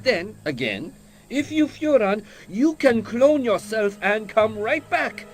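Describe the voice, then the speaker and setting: An actor playing a suspicious, pompous ninja cult quizmaster in a video game, speaking clipped broken English with a mock Japanese accent. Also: male voice, sits high, big pitch swings, slow, heavily acted. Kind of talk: video game cutscene acting.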